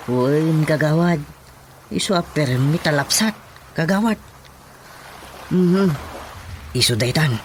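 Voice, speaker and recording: Dutiful village watchman, Ilocano Filipino accent, chatty radio-comedy delivery, slightly high voice, faint noise. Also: takes it slowly, pauses often. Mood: earnest, gossipy. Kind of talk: comedic radio drama acting.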